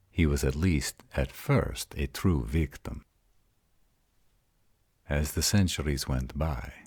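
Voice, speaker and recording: Arrogant yet tender male vampire, acted by a man for roleplay audio: very slow, bass-deep, soothing mentor. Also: darkish tone, husky, no room sound, clean studio sound, exaggerated voice. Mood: composed, serious. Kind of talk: intimate roleplay audio drama.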